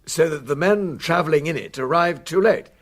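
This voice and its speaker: An exacting magistrate in a British radio crime drama. His voice sits slightly high, and he speaks formally, with a probing, questioning manner. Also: normal speed. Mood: sceptical, questioning. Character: formal, probing male French examining magistrate.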